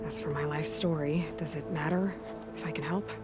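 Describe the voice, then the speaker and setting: An actress playing a determined, level-headed young woman adventurer in a video game, calm, direct and understated. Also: low voice, normal speed, close to an everyday voice. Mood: calm, guarded. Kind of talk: video game cutscene acting. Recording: music behind the voice.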